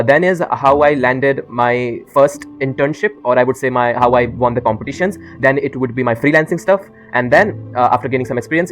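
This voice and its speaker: A teenage boy with an Indian English accent chats to camera at a rapid clip, his sentences tumbling out between uh's. He drifts between English and Hindi mid-thought in a loose, rambling way, with faint music playing under him. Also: mid-range voice. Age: teens. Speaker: YouTuber. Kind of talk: casual talk to camera.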